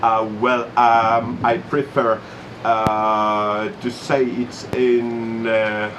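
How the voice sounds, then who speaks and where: A man whose first language is Finnish, speaking English with a Finnish accent in a Santa Claus role, talking slowly with many fillers. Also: medium pitch, little pitch movement. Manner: slow, hesitant, with many fillers. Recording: noisy room ambience.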